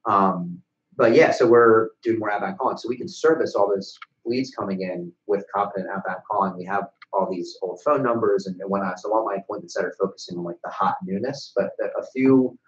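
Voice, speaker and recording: A man's voice: lowish voice, a little fast. A young man with an American accent chatting casually about business as a webcast co-host, blunt and casually profane. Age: young adult. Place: small bright office, indoors. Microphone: desk microphone on a stand. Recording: echoey room, dull sound.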